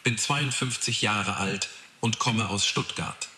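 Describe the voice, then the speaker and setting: A man's voice: fairly low pitch, takes it slowly, sharp tone, slight background noise. A synthetic, AI-generated man's voice reading a short scripted self-introduction. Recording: echoey playback in a room.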